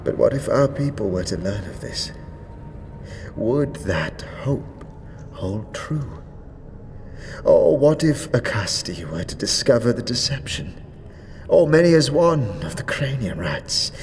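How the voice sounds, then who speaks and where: A man voicing an ancient, weary undead ruler who speaks in archaic thou-speech, grave and slow. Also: mid-range voice, sing-song, long reverb tail, a little hiss or hum. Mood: pleading.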